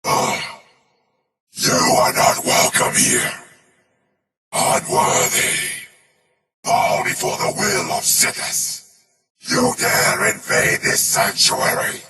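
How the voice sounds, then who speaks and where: A man voicing a malevolent undead guardian spirit in a video game, gravelly and contemptuous, with a ghostly echo. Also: high-pitched, huge pitch swings, takes it slowly, long pauses, bright, forceful tone, soft background music, heavily acted. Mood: scornful menace. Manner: contemptuous, menacing, drawn out. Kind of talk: video game character voice lines. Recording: heavy echo, distant sounding.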